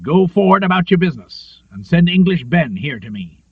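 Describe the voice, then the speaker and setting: A man's voice: on the high side, sing-song, normal speed, slightly rough, no echo. A man voicing an abrupt, domineering merchant sea captain in an audiobook, with curt, commanding delivery. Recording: narrow phone-like lo-fi sound.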